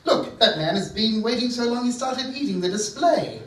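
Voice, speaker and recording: Man playing a pompous evil professor, swooping pitch, echoey theatre. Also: expressive, moderate pace, fairly bright tone. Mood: exasperated. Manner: pompous, fussy, theatrical ranting. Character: pompous, easily exasperated male evil supervillain professor. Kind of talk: live stage comedy radio play. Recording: echoey theatre, distant mic, audience noise.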